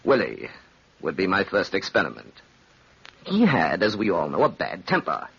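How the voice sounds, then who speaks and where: A man's voice: low-pitched, wildly swooping pitch, moderate pace, creaky, fairly bright tone, husky, full character voice. An actor playing a cynical, wicked man who relishes his evil, in a theatrical, grandiose, taunting manner in an old-time radio drama. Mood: cold, scheming. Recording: dull, hissy old radio recording.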